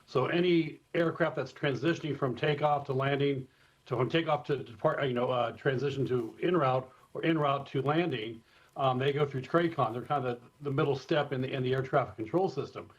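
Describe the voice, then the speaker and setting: A man with an American accent explaining things informally and in measured fashion as an expert guest in a live interview, over a remote feed. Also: medium pitch, breathy edge. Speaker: expert guest, former airport communications director. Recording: dull, slightly lo-fi remote feed.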